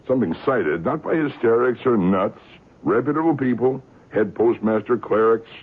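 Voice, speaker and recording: Man acting a gruff, husky newspaper editor. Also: medium pitch, expressive, normal speed, lightly acted. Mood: businesslike. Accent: American. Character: brusque male newspaper features editor, an uncle. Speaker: actor. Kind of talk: 1970s radio drama acting. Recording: muffled, narrow-band old radio recording.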